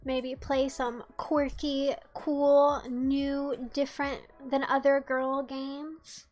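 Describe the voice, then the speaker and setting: A woman's voice: high-pitched, little pitch movement, slow pace, a little mellow, lo-fi sound, faint music behind. A young woman from the United States streaming live, chatting casually and teasing her chat in a playful way.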